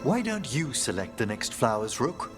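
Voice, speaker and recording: An actor playing a gentle, wistful, scholarly older necromancer in a fantasy video game, with formal, measured, eloquent phrasing. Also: male voice, mid-range voice, very animated, close to an everyday voice. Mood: grave. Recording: game music playing behind.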